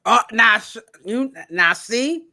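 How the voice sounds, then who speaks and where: A woman's voice: a little high, slow. A woman hosting a livestream who calls out the chat and hands the floor to guests. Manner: excitable, hypes the chat. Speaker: host.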